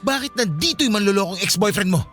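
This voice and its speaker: A man's voice: sits high, lively intonation, fast talker, a bit bright, faint music behind. A man with a Filipino accent playing an aggrieved, pleading young father in a radio drama, insistent and emotional. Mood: angry, demanding.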